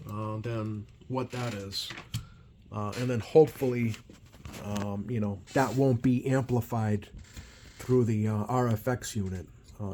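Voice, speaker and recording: A man giving a casual hands-on demonstration as a repair technician, talking in a hesitant, rambling way with many ums and pauses. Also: mid-range voice, a little mellow. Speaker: CB radio repair technician hosting a video. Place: repair workbench indoors. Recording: noisy room tone behind voice.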